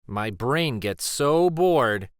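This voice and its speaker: Male children's storyteller, slow and lively, with varied pitch for picture book narration. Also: clean tone, dry recording, clean studio sound. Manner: deliberate, animated storytelling delivery. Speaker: children's read-aloud storyteller.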